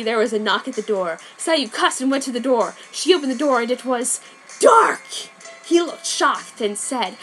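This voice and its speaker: A woman reading a fan story aloud, deadpan and mock-serious. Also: a little high, poor audio. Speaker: reader. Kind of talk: dramatic fanfic reading. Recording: background music. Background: soundtrack music.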